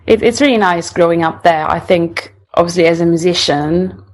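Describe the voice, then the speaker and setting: A woman's voice: on the low side, moderate pace. A young woman from Italy, a singer-songwriter, speaking English with an Italian accent in a casual interview, thoughtful and often restarting her sentences.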